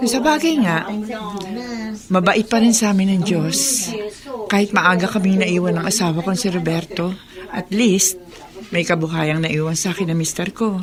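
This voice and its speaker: Woman playing a low-voiced, chatty middle-aged mother. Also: normal speed, crowd noise, lightly acted. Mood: friendly, reassuring. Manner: chatty, reassuring. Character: good-natured middle-aged widowed mother running a store. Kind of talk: Tagalog radio drama acting.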